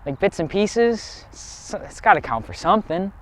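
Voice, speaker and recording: A man's voice: high voice, big pitch swings, moderate pace. Blunt sarcastic young male co-host. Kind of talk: comedic video essay and sketch banter.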